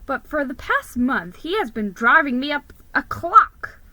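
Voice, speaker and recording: Slow, bright-voiced woman reading a story. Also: sits high, sing-song, no echo. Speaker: storyteller narrating as a mouse. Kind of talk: dramatic reading of a children's story.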